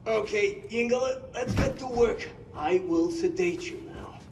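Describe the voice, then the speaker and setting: An actor playing an eccentric, kindly elderly scientist in a video game, fussy and rambling, repeating himself. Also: male voice, sits high, unhurried, gravelly, background noise. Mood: eager explaining. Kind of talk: dramatic video game acting. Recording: echoey hall, music behind.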